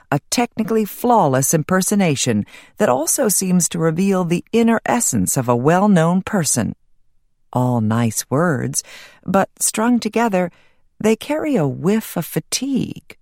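Woman, low-pitched, audiobook narration, scripted nonfiction, measured, wry, crisply articulated, very dry room sound. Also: dry recording.

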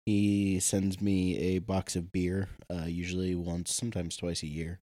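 A man co-hosting a casual chat, reacting quickly and restarting his sentences. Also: deep voice, level tone, dark tone. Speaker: podcast co-host.

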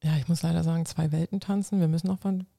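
Gravelly woman, earnest. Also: deep voice, steady tone, quick pace, dark tone, no room sound, clean studio sound. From North Frisia, northern Germany. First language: Low German. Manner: earnest, explains at length with restarts. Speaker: guest, coach and civil servant. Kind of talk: casual interview answers.

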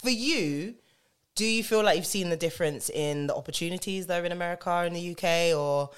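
A woman's voice: on the low side, fairly quick, clean tone. A British woman hosting an interview, bubbly and affirming, laughing often as she talks. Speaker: interview host. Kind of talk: casual interview chat.

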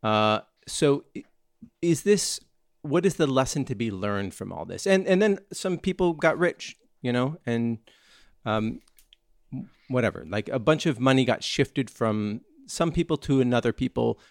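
A podcast host chatting casually, rambling with lots of fillers and restarts. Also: male voice, mid-range voice, expressive, measured pace, creaky, dry recording, studio quality. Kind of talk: casual chat.

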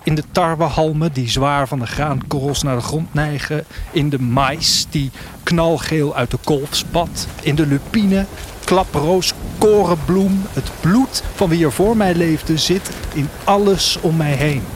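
A man's voice: fairly high pitch, unhurried, background noise, close to an everyday voice. An actor playing a reflective man of a future world, narrating a radio drama intimately in the first person. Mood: fervent, poetic. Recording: music under the voice. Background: music.

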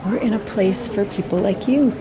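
An actress playing a soothing, gently coaxing woman visiting a confused person in an audio fiction drama. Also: mid-range voice, normal speed, over a noisy crowd, close to an everyday voice. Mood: gently upbeat. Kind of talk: naturalistic audio fiction acting.

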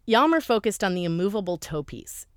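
A woman's voice: mid-range voice, very animated, brisk, no room sound, studio quality. A woman host giving scripted documentary narration in a conversational, lightly wry way.

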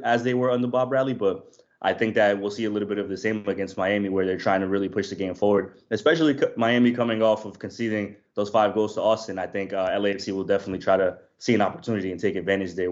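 A low-pitched man from Colombia talks through a soccer matchup in a calm, measured way, hedging his points as he goes. His audio comes over a remote line and sounds a little dull and lo-fi. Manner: measured, hedging with "I think" and restarts. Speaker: soccer reporter guest. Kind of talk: analytical sports podcast chat.

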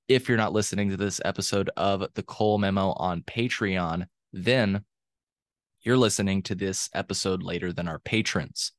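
Deep-voiced podcast host, dry sound. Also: male voice, no room sound. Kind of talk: podcast intro and casual interview.

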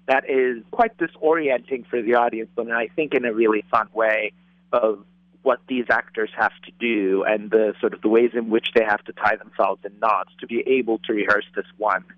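A man's voice: mid-range voice, no room sound. Thoughtful man on a phone line. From Turkey. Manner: reflective, with fillers. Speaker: theater director. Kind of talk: interview answers.

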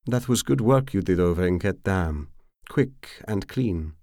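A man's voice: sits low, expressive, measured pace, gravelly, dark tone, dry recording, studio quality, pitched above the performer's own voice, exaggerated voice. A stern, weathered woman who leads a crew in a fantasy tale, voiced by a male narrator. She speaks coolly, with treacly menace, and is never impressed. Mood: guarded.

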